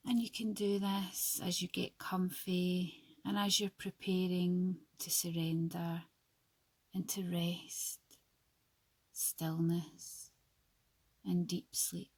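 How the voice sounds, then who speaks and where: A woman leads a guided yoga nidra for sleep at a very slow pace. Her voice is soft and breathy with a good deal of creak at the edges. She speaks in short, hushed, soothing phrases with long pauses between them. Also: fairly low pitch, flat delivery, no room sound, rough recording.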